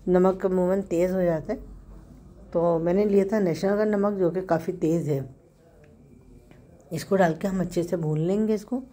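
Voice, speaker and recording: Woman, Pakistani Urdu accent, hoarse, warm dark tone, chatty home cooking guide. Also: lowish voice, steady tone, normal speed, pauses often. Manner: chatty, explains step by step with small self-corrections. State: hoarse from a sore throat. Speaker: home cook host. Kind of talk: casual cooking narration.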